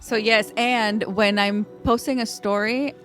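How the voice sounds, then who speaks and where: A woman's voice: on the high side, steady tone, measured pace, a bit bright, soft background music. A woman born in Mexico, with Spanish as her first language, speaking as a podcast guest in a thoughtful, casual way with fillers and restarts. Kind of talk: casual interview talk.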